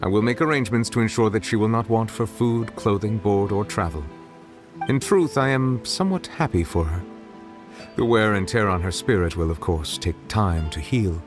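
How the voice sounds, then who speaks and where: A low, smooth male voice sits over clear background music. It is a stately dragon judge from a video game, acted by a man, reserved and eloquent. Each phrase is measured, formal and solemn. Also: lively intonation, close to an everyday voice. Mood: gently reassuring. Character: solemn, dignified water dragon serving as chief judge. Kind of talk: video game character dialogue.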